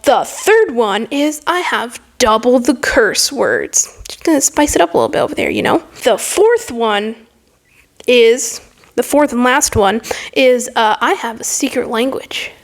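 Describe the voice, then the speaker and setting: A woman's voice: on the high side, sing-song, unhurried. A young woman talking casually to camera in a vlog, chatty and full of fillers, acting out little quoted exchanges with a self-mocking edge. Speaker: vlogger.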